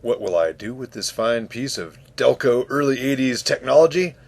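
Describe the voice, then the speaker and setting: Man, mid-pitched voice, casual hobbyist presenter, wry dry humor, hands-on garage demonstration. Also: lively intonation, normal speed, gravelly, a little mellow. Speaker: presenter, junkyard parts hobbyist. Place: home garage.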